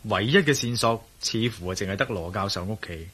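An actor playing a troubled, suspicious adventurer-investigator in a Hong Kong Cantonese radio drama, speaking conversationally with sighs. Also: male voice, on the low side, lively intonation, a little fast, fairly bright tone, slightly rough. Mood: brooding. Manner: sighing, conversational.

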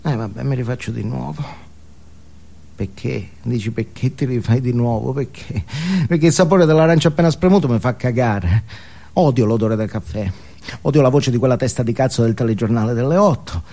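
A man's voice: medium pitch, a little fast, gravelly, warm tone. An actor playing a bitter, foul-mouthed male drug addict, ranting crudely in a theatrical comic monologue. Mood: groggy, disgusted. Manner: ranting, crude, swinging from mutter to shriek. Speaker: stage actor performing monologues.

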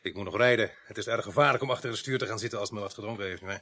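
Husky-voiced, dry police sergeant. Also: male voice, on the low side, rapid-fire, bright, forceful tone. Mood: dry, probing. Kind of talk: radio play acting.

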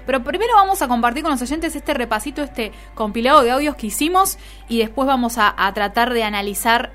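A woman's voice: on the high side, expressive, fairly quick, fairly bright tone, faint music behind. A woman with an Argentine Spanish accent chatting casually as the host of a radio show.

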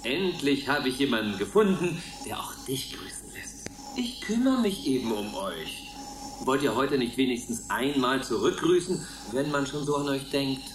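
Man as smug chirpy supervisor, lively high voice, falsely jovial, officious, radio drama, roomy sound with faint noise. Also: moderate pace, lightly acted. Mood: smarmy. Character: chirpy, patronizing male overseer of confined elderly people. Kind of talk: radio play acting.

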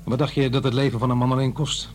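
A man's voice: mid-range voice, level tone, quick pace, a little mellow, soft background music, natural voice. An actor playing an awkward, defensive divorced father in middle age in a radio play, with a hesitant delivery. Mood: defensive, indignant. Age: middle-aged. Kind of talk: radio play acting, naturalistic dialogue and narration.

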